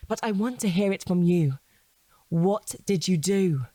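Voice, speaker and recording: An actress playing a shrewd, composed police detective who questions a suspect in a controlled, insistent way in an audio drama. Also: female voice, on the low side, measured pace, dark tone, no echo, rough recording. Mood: firm, pressing.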